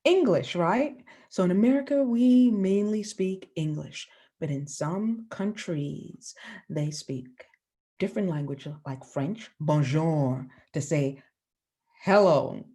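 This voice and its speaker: A woman teacher from the Bahamas giving a lesson to children, asking the class questions and pausing for answers. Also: lowish voice, warm tone.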